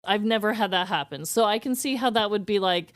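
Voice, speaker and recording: A woman's voice: medium pitch, a little fast, no echo, clean studio sound. A woman podcast host chatting casually and reacting to others.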